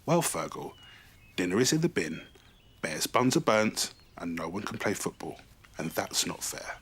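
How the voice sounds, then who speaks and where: Man playing storybook dragon mum, sighing, firm but gentle, low and husky. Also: big pitch swings, moderate pace, heavily acted. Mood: patient, weary. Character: calm, weary, patient mother dragon giving advice.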